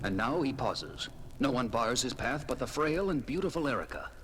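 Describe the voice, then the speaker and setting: A man's voice: medium pitch, sing-song, moderate pace, a bit bright, slight background noise, rough recording. Theatrical male horror narrator. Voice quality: portentous, theatrical. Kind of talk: dramatic scripted narration. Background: dramatic music score.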